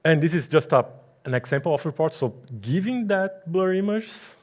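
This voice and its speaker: A man's voice: a little high, lively intonation. A man from Brazil, speaking English with a Brazilian Portuguese accent, gives a technical conference talk with many fillers and restarts. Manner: halting, with frequent fillers and restarts. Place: conference hall.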